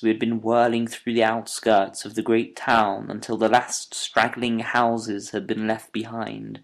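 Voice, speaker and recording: Guy acting detective's polite sidekick, a man with crackly fry in his voice, measured old-fashioned wording, audiobook narrator. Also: mid-range voice, natural voice. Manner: polite, measured, formal period diction. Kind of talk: dramatised audiobook narration and dialogue.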